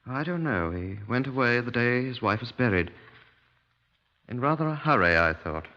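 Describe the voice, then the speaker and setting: A man's voice: medium pitch, lively intonation, normal speed, bright, forceful tone. A British man playing an earnest, suspicious witness in a 1950s radio drama, polite but insistent. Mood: earnest, uneasy. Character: earnest, suspicious male witness visiting police. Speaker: actor in a radio crime drama. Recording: dull, muffled 1950s radio recording.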